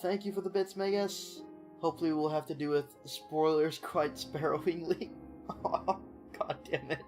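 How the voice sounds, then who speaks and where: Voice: medium pitch, steady tone, moderate pace, a little mellow. A streamer with a nasal, stuffed-up voice, casually reading a game aloud on a live stream. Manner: casual, swears. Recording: music in the background.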